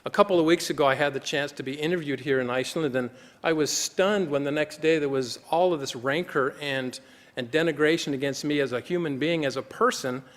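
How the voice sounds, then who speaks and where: A man's voice: on the high side, brisk. Earnest man speaking in a reverberant hall. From United States. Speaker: conference speaker, physician. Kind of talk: formal conference talk. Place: conference hall.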